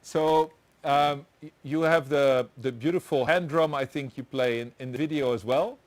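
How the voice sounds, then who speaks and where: A man hosts a live online chat in a middle-pitched voice. He stumbles and restarts often, chuckling between questions. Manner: halting, many restarts and chuckles. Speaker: host. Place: home set with doors open.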